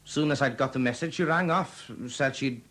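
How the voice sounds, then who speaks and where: A man's voice: medium pitch, moderate pace, fairly bright tone, lightly acted. Man acting blunt grumbly bloke being questioned, colloquial, old 70s radio play. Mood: grumbling, blunt. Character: blunt, grumbling male witness, mildly sweary, plain-spoken. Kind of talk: 1970s radio drama acting. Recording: dated 1970s radio drama recording.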